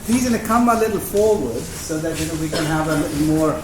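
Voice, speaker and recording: Male guest lecturer, loud and conversational, higher register, recorded in a roomy hall. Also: lively intonation, fast talker, distant mic. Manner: loud, chatty, many "you know" fillers. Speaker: guest speaker. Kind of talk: informal lecture to students. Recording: echoey hall with noise.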